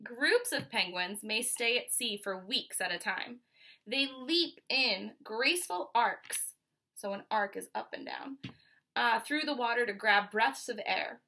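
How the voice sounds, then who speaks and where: A young American woman with a fairly high, bright voice reads a picture book to children. She is instructive, breaking off from the text to explain things and pose questions to her listeners. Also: animated, a little slow, vocal fry. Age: 20s. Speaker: teacher reading aloud. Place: small furnished room at home, indoors.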